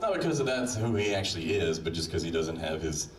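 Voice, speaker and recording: A man's voice: low-pitched, very animated, fast talker. A man delivering a humorous, admonishing monologue with mock-stern manner, addressing the listener directly. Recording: roomy, distant playback with crowd noise.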